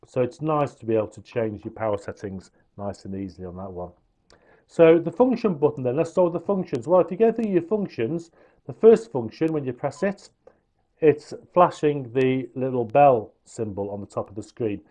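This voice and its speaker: A man's voice: mid-range voice, animated, moderate pace, warm tone. A man with a mid-range voice gives an informal product review. He talks the listener through a hands-on demonstration, explaining things one step at a time.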